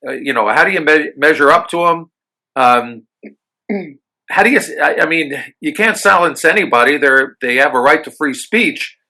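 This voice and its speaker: American guy hosting a radio chat, keeps stumbling on uh and um, bright edgy voice. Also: on the high side, animated, poor audio. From United States. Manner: halting, many fillers and restarts. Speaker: radio show host. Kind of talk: casual radio interview.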